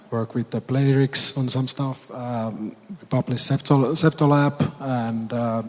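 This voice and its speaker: A man with a hoarse, nearly lost voice introduces himself on a conference panel. His pitch sits in the middle and he halts often on fillers. The sound carries the long reverb of a large hall. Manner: halting, many fillers. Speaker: panelist, game publisher CEO.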